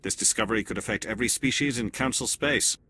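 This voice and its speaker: A man's voice: lowish voice, quick pace, background noise, close to an everyday voice. Nihlus, a man playing a cool turian agent. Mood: measured. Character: male turian alien agent, cool and measured. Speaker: elite agent observing a mission. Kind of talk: video game cutscene acting.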